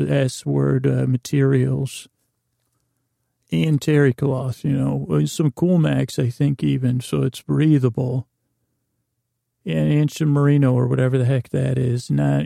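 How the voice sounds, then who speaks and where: A man hosting a bedtime podcast in a rambling, soothing monologue, halting and meandering as he repeats himself and trails off, with a gravelly, creaky voice. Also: a little high, warm tone, dry recording, studio quality. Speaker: podcast host.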